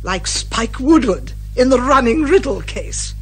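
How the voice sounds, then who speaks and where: Brisk, bossy British lady sleuth, animated. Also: high voice, breathy edge, faint music behind, full character voice. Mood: brisk, knowing. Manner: confident, brisk, lecturing. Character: eccentric, bossy female amateur sleuth, brisk and hearty. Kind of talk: 1980s radio comedy detective drama acting.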